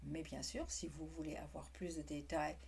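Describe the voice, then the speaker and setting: A woman teaching a craft in French, with a non-native accent, speaking in a halting way with frequent restarts. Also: low voice, steady tone, gravelly, a little mellow, slight background noise. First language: not French. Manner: halting, restarts words often. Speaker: witchcraft teacher. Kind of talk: casual explanatory tutorial.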